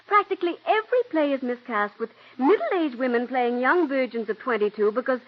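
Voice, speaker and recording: An actress playing a young, ambitious stage actress who pleads tearfully and breathlessly, in 1940s radio drama style. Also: female voice, high-pitched, animated, a little fast. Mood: haughty. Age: young adult. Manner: breathless, pleading, breaks into sobs. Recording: dull old radio broadcast.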